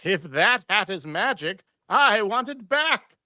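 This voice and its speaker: Bright forceful voice, unhurried, dry sound, pompous scolding cartoon magician acted by a woman, greedy, theatrical. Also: medium pitch, sing-song, dry recording, heavily acted. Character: Professor Hinkle, pompous, petulant, greedy bad stage magician. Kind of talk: live table read of a holiday cartoon.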